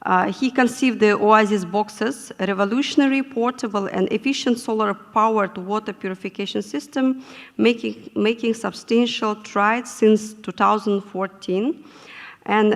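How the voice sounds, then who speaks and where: A woman moderating a conference panel in a measured, formal manner, often pausing with fillers. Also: medium pitch. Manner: measured, with frequent hesitations. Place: conference hall. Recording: echoey conference hall.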